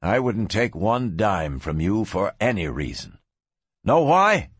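A man voicing a stubborn, quietly menacing gunslinger in a Western storybook, with a measured manner. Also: on the low side, breathy edge, no echo, full character voice. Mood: defiant. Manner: flat, measured, soft-spoken warnings. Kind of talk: dramatised audiobook reading.

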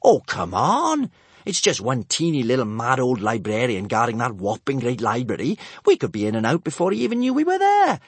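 A bold young girl burglar, high and breezy, as a male narrator puts her on, loud and quick with big leaps in pitch. It sounds dry and close. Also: husky, no room sound, full character voice. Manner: breezy, excitable.

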